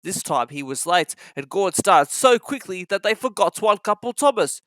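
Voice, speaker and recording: A narrator reading a children's story aloud in an expressive, scripted style. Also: mid-range voice, normal speed, dry recording.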